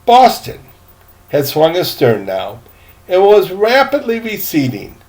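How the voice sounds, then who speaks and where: A man's voice: sits high, wildly swooping pitch, slow pace, darkish tone. An older man, a grandfather, narrating a storybook informally, with casual asides and self-corrections. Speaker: grandfather reading a book aloud.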